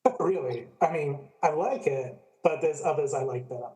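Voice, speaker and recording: A man's voice: a little high, normal speed, a little mellow, lightly acted, poor audio. A man playing a laid-back, skeptical friend with a casual way of talking, in an amateur radio play.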